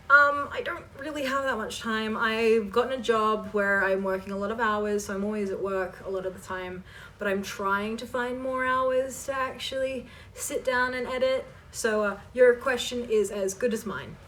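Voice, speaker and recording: A woman's voice: on the high side, bright, forceful tone, recorded from a distance. A woman hosting a casual question-and-answer chat for her viewers, informal and emphatic as she reads questions aloud and answers them.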